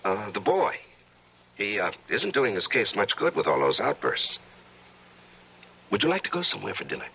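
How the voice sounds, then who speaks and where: An actor playing a coolly vain, secretly murderous judge and husband in an old-time radio drama, measured and urbane. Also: male voice, lowish voice, wildly swooping pitch, gravelly, bright, forceful tone, slightly rough, heavily acted, poor audio. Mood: breezy, evasive. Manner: measured, urbane, condescending to his wife.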